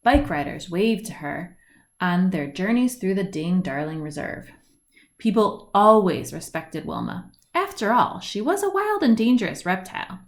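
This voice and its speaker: A woman reading a children's picture book aloud, with deliberate phrasing, stretched words and lilting lists. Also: medium pitch, very animated. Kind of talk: read-aloud storybook narration. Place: indoors at home, at a wooden table by a window.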